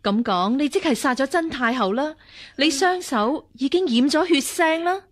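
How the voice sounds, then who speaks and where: An actress playing a stern, righteous martial-arts nun in a radio drama, questioning with sceptical authority. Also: female voice, high-pitched, animated, moderate pace, no echo. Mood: shocked, accusing. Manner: interrogating, accusing, authoritative. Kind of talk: Cantonese radio drama acting.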